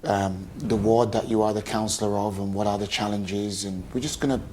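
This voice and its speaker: A man hosting a local television interview, asking questions and giving quick encouraging affirmations. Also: on the low side, fairly even intonation, a little mellow, slight background noise, poor audio.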